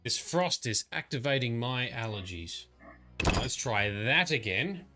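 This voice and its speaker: A man's voice: mid-range voice, lively intonation, a little slow, pushed and bright. A man giving casual let's play commentary, chatty, swearing and laughing at the game. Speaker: streamer. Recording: game music behind voice. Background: game music.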